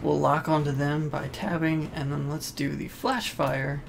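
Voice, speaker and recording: Gravelly man giving casual game commentary. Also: on the high side, flat delivery, unhurried. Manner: informal, rambling, thinking aloud. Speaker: gaming video host. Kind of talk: casual let's play commentary, explaining game interface. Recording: noisy voice-over over game ambience. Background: video game sounds and music.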